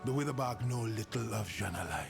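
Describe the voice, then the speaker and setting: Proud troll chief in a fantasy game, low gravelly voice, music behind him. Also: male voice, animated, a little fast. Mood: proud, formal.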